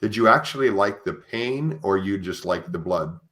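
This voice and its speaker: A man's voice: lowish voice, lively intonation, normal speed, a bit bright. A man hosting a conversational podcast interview, speaking tentatively and rephrasing his questions as he goes. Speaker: podcast host.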